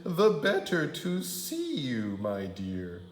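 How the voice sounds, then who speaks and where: A man voicing a sly, hungry storybook wolf who poses as a sweet granny, with drawn-out sugary coaxing. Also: mid-range voice, huge pitch swings, roomy sound. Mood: sweet, scheming.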